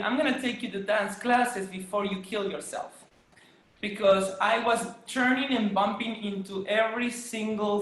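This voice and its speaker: A woman from Argentina, a dancer telling her story as a guest in a casual interview, with many ums and soft laughs. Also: fairly low pitch. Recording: echoey room.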